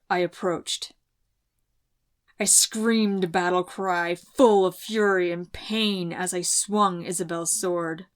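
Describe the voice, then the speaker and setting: Slow, solemn woman storyteller. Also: lowish voice. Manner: measured, solemn reading. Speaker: reader, audiobook narrator. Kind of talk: first-person storybook narration.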